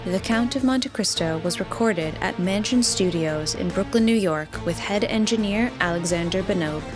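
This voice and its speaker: A woman's voice: mid-range voice, moderate pace. A woman reading scripted credits as an announcer for an audio production. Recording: music behind the voice. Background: guitar music.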